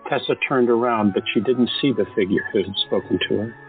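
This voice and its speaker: A man narrating an audio drama in a measured storytelling manner. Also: on the low side. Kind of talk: scripted narration. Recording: phone-like lo-fi. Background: music.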